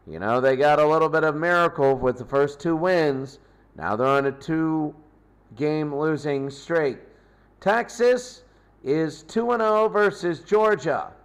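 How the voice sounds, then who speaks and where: A man's voice: on the high side, smooth. A man hosting a casual, unscripted sports recap, talking in short fragments and repeating scores. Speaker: sports show host.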